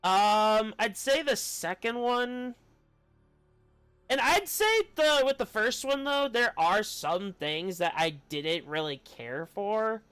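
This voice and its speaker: Casting: male streamer, fairly high clean voice, casual rambling chat over faint music. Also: expressive, takes it slowly, fairly bright tone, clean tone, no room sound. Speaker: livestreamer. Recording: game music behind the voice. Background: video game music.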